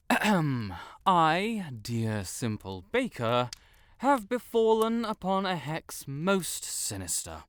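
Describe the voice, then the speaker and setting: A man's voice: on the high side, a bit bright, no echo, exaggerated voice. A man playing a pompous, melodramatic wizard in an audio roleplay, with flowery, theatrical speech and blurted self-corrections. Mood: haughty, dramatic. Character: haughty, melodramatic male wizard, secretly fond tsundere. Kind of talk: scripted audio roleplay to the listener.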